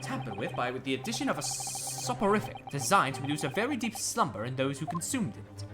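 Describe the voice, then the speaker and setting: Lady voicing a smug gentleman detective, low and slow, lectures a lot, music behind. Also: sing-song. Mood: lofty. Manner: lofty, deliberate, lecturing. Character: calm, superior gentleman detective. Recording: game music playing underneath.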